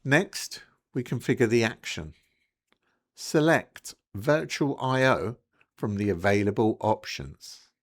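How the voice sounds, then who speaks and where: A man presenting a technical support tutorial, reading scripted narration in a clear, instructional way, one step at a time. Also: mid-range voice, animated, slow pace.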